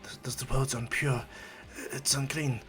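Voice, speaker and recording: A man's voice: medium pitch, steady tone, a little slow, a bit bright, natural voice. A man voicing a frightened, defeated male villain who stammers as he speaks. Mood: fearful. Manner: stammering, pleading.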